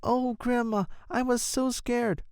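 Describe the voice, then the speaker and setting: Little fairy-tale girl played by a man: sweet, innocent, pitched very high in earnest falsetto. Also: steady tone, slow pace, darkish tone, smooth, no room sound, studio quality, heavily acted. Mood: frightened.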